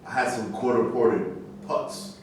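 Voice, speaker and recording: A man's voice: medium pitch, fairly even intonation, takes it slowly, close to an everyday voice. A man playing a tough, street-smart former boxing champion in a staged reading. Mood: tough, aggrieved. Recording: echoey room, far mic, faint noise.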